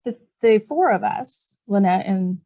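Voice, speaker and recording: Chatty, expressive woman as podcast guest. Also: mid-range voice, a little slow, muffled recording. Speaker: podcast guest, novelist and kindergarten teacher. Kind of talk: casual chat.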